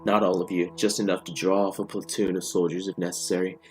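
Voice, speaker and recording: A man voicing a strained, serious physicist astronaut in a story, grave and deliberate. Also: low-pitched, level tone, soft background music, natural voice.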